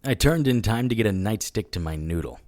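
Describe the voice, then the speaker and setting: Very deep hard-boiled demon hunter played by a man. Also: animated, brisk, heavy background noise, lightly acted. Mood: grim. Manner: gritty, dry, matter-of-fact. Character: hard-boiled male demon hunter, world-weary and sardonic. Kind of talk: first-person noir audio drama narration.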